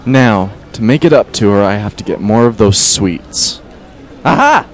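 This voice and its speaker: A man playing a brash, gruff half-dog demon who is secretly contrite, in an amateur audio drama. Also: fairly low pitch, animated, a little slow. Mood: determined.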